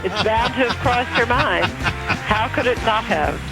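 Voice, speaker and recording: A man musing slowly in short phrases. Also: high voice, animated, vocal fry, poor audio. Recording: loud music behind the voice.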